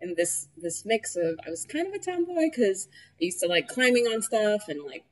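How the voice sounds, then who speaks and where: A woman's voice: medium pitch, sing-song, moderate pace, rough recording. A young woman from New York City, chatting easily in an interview, laughing often and using plenty of fillers. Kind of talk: casual interview conversation.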